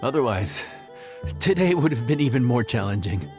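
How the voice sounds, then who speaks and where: An actor playing an affable, patient inn cook who explains things in an encouraging, folksy way in a video game. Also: male voice, medium pitch, sing-song, normal speed, music in the background, natural voice. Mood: reassuring. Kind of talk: video game cutscene acting.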